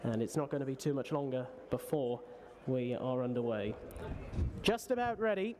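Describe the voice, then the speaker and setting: A man giving live race commentary as a racecourse announcer, pausing often between short phrases. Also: medium pitch, animated, a little mellow.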